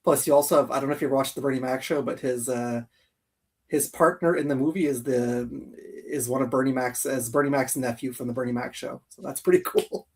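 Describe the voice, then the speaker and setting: A man chats casually as co-host of a countdown stream. His mid-range voice is a little rough and husky, and he talks in an informal, keen, unscripted way. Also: medium pitch, fairly even intonation, fairly quick, a little mellow. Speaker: co-host of a video game countdown livestream.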